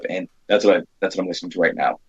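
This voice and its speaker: A man chatting casually as an interview guest about his work as an independent filmmaker, halting and often interrupting himself. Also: low-pitched, breathy edge, dry recording. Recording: dull lo-fi audio.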